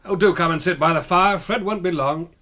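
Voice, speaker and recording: An actor playing a suave, faintly menacing English gentleman in a radio drama, polite and drily teasing. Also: sits high, brisk, background noise, natural voice. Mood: urbane, teasing. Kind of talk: British radio drama acting.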